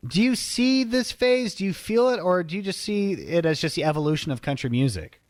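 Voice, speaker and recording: A man hosting an interview, asking quick conversational questions. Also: sits high, lively intonation, dry recording. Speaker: interview host.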